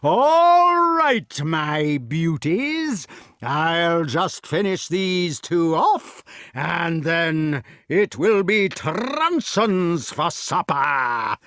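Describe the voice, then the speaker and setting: A man's voice: a little high, sing-song, a bit bright, no echo, clean studio sound, pitched above the performer's own voice, heavily acted. A man reading aloud and voicing a cruel, blustering giant who sneers and drags out his threats. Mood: sneering. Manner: sneering, drawn-out menace.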